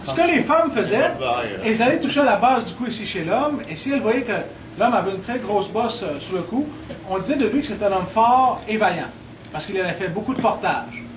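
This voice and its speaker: Quebec-accented man, high voice, distant and noisy. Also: expressive, a little fast, crowd noise. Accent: Quebec French. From Quebec, Côte-Nord. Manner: playful, teases the audience. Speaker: cultural tour guide. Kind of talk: guided tour talk to visitors. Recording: distant camera audio with loud room noise.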